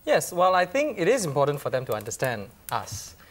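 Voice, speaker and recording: A Singaporean man talks in an animated way, his pitch rising and falling a lot. He speaks Singaporean English and throws in casual asides. His tone is chatty and lively. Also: fairly quick. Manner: animated, laughing asides, mixing in Singlish. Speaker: panel host.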